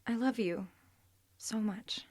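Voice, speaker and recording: An actress playing an exasperated young girlfriend in an audio drama, speaking emotionally and haltingly, often dropping to a murmur. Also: on the high side, a little mellow. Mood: tender, apologetic. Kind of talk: naturalistic audio drama acting.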